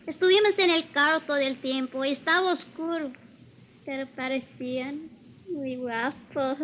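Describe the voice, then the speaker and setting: A woman's voice: high voice, normal speed, a bit bright, a little hiss or hum. An actress playing a young Spanish-speaking girl questioned as a witness in a 1950s radio drama. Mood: hesitant recall. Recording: dull vintage 1950s radio recording.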